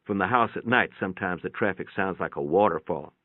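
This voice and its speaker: A low-pitched male narrator reads a first-person story in a folksy, conversational way. The recording is narrow and radio-like, and dry, with very little room around the voice. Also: a little fast, dry recording. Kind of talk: dramatic reading of a first-person short story. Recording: thin, band-limited, radio-like sound.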